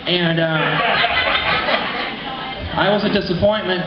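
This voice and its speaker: A man from Kansas doing a deadpan stand-up comedy set in a small bar, telling stories and acting out quotes. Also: high voice, takes it slowly, music in the background. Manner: deadpan storytelling with acted quotes. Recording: echoey room, lo-fi, noisy.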